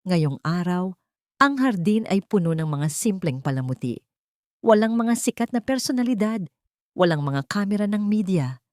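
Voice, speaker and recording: Low-pitched woman narrating a love story. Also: darkish tone, no room sound, clean studio sound. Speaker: audiobook narrator. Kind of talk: scripted dramatic story narration.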